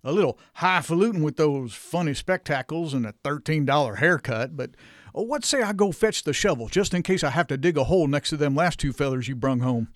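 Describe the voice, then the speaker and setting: Man acting sardonic Southern yokel, animated. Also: on the high side, a little fast, no room sound, close to an everyday voice. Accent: American South. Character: sardonic, trigger-happy Southern yokel cousin, long dead. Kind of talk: comic radio theater acting.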